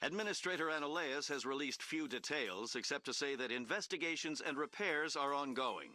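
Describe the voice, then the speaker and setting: A man's voice: on the high side, expressive, normal speed, bright, forceful tone, no echo, faint music behind. A man playing an impersonal news announcer in a sci-fi game, reading a scripted bulletin. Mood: neutral, informative.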